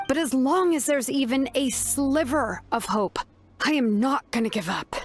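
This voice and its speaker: A woman's voice: sits high, moderate pace, soft background music, lightly acted. A woman acting a young, weary but resolute survivor in a video game, speaking earnestly and solemnly.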